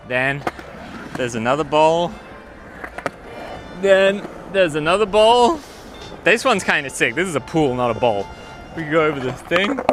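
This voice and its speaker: A man hosting a casual livestream at an outdoor skatepark, talking in slangy, enthusiastic bursts. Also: a little high. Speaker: livestream host. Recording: noisy outdoor livestream audio.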